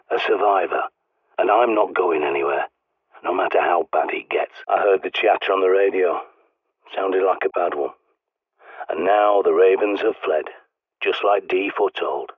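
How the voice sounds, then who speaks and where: An actor playing a gruff, bossy middle-aged survivalist in a video game, blunt and sardonic, heard through a radio filter. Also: male voice, low-pitched, no room sound. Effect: radio transmission filter. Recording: tinny, band-limited radio with static.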